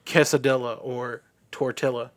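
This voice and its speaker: A man's voice: mid-range voice, fairly even intonation, normal speed. A man from the United States, a podcast guest, rambling through casual chat with many filler words. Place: podcast studio.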